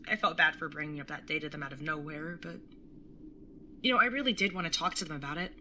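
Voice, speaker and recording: A woman's voice: low voice, quick pace, pushed and bright, a little hiss or hum. A young woman playing a caring, slightly awkward and wry older sibling in a casual audio drama. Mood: wry, reflective. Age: young adult. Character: awkward, caring older sibling, early twenties, tattooed, teasing. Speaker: narrator and lead character. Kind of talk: audio drama acting with first-person narration.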